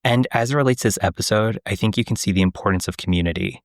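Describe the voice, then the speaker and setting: A man hosting a podcast, asking questions and reading a measured segment on tarot. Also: fairly low pitch, dry recording, studio quality. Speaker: podcast host.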